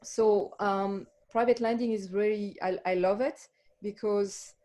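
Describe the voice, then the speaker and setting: A woman's voice: mid-range voice, fairly even intonation, unhurried, warm tone. A woman with a French accent, a life coach speaking as a guest in a passionate, reflective way with frequent ums. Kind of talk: casual interview chat.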